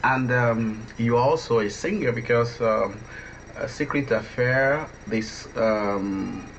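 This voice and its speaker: A man asking interview questions conversationally, with halting, hesitant phrasing. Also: medium pitch, vocal fry. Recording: dull, distant mic with faint noise.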